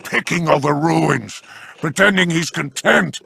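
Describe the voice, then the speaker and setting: An actor playing a sly, scheming rogue in a video game, with a gravelly voice and a smug, teasing manner. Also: male voice, a little high, a little slow, pushed and bright, full character voice.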